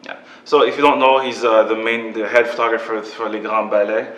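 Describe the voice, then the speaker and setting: Upbeat young guy hosting an interview, talks fast, roomy echo. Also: on the low side, level tone, fairly bright tone. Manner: upbeat, chatty, lots of fillers. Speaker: podcast host. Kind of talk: casual interview. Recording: echoey room.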